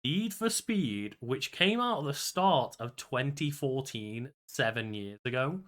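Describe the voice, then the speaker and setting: A man's voice: medium pitch, expressive, measured pace, fairly bright tone. A man talking casually as the co-host of a podcast, in loose conversational speech.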